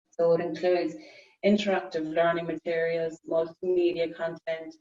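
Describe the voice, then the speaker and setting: A woman with a low, mellow voice presents during an online seminar, stopping and restarting often. Her audio sounds echoey and distant, with a dull, muffled tone from the call. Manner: halting, many fillers. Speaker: course presenter. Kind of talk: webinar presentation. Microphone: video call.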